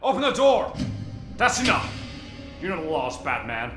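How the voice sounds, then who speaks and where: A man's voice: a little high, very animated, moderate pace. A man playing an alarmed, authoritative police commissioner in a radio drama, urgent and commanding. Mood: alarmed, stern. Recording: echoey, music loud behind voice.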